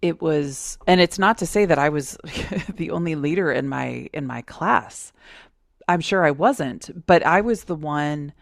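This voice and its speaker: A woman hosting a podcast, talking casually in a loud, outspoken voice. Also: low voice, expressive, unhurried, gravelly, no echo, clean studio sound. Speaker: podcast host. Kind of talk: casual conversation.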